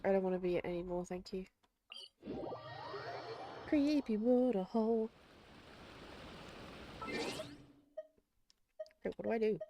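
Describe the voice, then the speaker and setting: A woman's voice: medium pitch, a little slow, long pauses, background noise. A woman game streamer giving casual, wry live commentary and thinking aloud as she plays.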